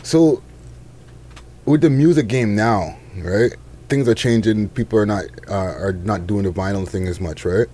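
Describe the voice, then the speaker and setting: A man's voice: fairly low pitch, unhurried, mellow. A man hosting a casual interview, asking questions in an informal, slangy way. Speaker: interviewer, host.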